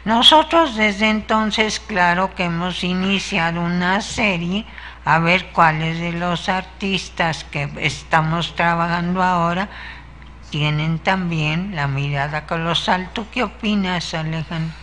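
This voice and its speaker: An older woman, telling in a slow, measured way how she named her art writing, as a guest on a local interview show. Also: fairly low pitch, a bit bright. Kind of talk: interview talk.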